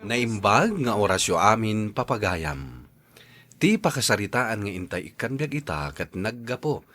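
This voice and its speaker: A man with an Ilocano accent hosting a radio program, giving advice and announcements in drawn-out, dramatic phrasing. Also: lowish voice, big pitch swings, fairly bright tone.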